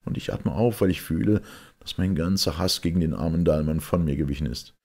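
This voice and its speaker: A man's voice: extremely low pitch, a little fast, a little mellow, pitched above the performer's own voice. A man voicing a shaken, earnest man in an audiobook, speaking with emotion. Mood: shaken, relieved. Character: shaken, earnest man relieved of his hatred.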